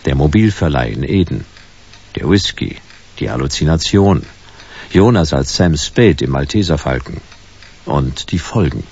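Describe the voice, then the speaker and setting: Hard-boiled private eye, low gravelly voice, laconic, dry, swinging intonation, radio noir narration. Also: male voice, lively intonation, unhurried, a little mellow, lo-fi sound, full character voice. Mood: laconic, brooding. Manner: laconic, dry, clipped sentences. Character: hard-boiled, stubborn male private detective narrating his case.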